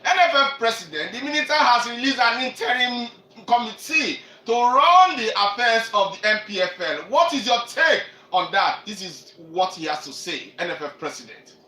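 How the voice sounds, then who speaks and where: A Nigerian man hosting a live sports radio discussion as presenter and reporter, speaking in an animated, emphatic way. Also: very high-pitched, moderate pace, hardly any pauses. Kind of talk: live sports discussion introducing interview clips. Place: echoey room, radio show. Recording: roomy, distant mic, faint noise.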